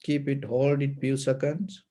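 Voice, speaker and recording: A man giving guided meditation instruction as a teacher, speaking in a calm, gentle, deliberate way. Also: mid-range voice.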